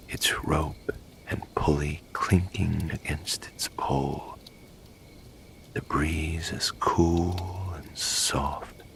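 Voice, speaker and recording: A man narrating a guided sleep meditation in a hushed, breathy, gravelly voice, soothing and unhurried like a bedtime storyteller. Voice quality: breathy, whispery, gravelly low voice.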